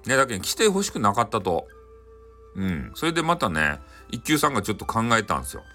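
A man tells a bedtime folktale in Hakata dialect. His voice is fairly low yet bright and very animated in pitch. He is folksy and conversational, phrasing haltingly over soft music. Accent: Hakata, Japan. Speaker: storyteller. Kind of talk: informal bedtime folktale storytelling.

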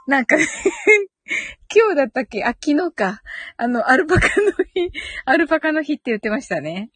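A woman's voice: high-pitched, sing-song, normal speed, fairly bright tone, dry recording. A woman hosting a casual live audio chat, giggly and chatty, reading out comments and murmuring agreement. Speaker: host of a live audio stream.

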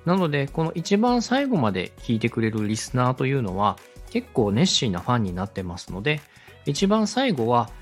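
A man's voice: medium pitch, huge pitch swings, moderate pace, husky, soft background music. A man giving a polite, step-by-step explanatory talk as a podcast host and instructor. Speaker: podcast host and radio director teaching podcast making.

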